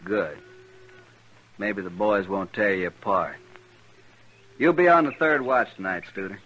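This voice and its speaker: An American actor playing a gruff, plain-spoken trail boss in a 1950s western radio drama, blunt and folksy with dry humour. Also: male voice, lowish voice, unhurried, a bit bright. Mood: blunt, guarded. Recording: noisy, muffled old radio recording.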